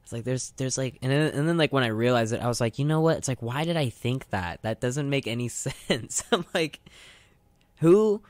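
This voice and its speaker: A young man co-hosting a radio show in casual, animated chat, speaking into a close microphone. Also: medium pitch, normal speed, no room sound. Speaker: radio co-host.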